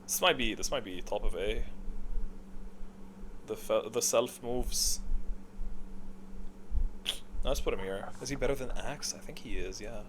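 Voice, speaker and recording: A man's voice: mid-range voice, a little slow, long pauses, a bit bright, slight background noise, low-quality recording. A man giving casual, unscripted ranking commentary, thinking aloud in an opinionated way. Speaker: video host.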